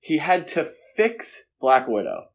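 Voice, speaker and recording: A man's voice: on the high side, wildly swooping pitch, slow pace, vocal fry. Casual, wry male podcast co-host. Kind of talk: casual film discussion.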